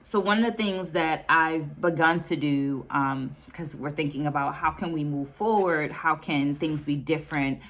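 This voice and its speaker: Female educator-host, low register, thoughtful direct-to-viewer talk, deliberate phrasing with repetition for emphasis. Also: a bit bright. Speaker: educator and video host presenting book takeaways. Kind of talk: reflective talk to camera for an online video.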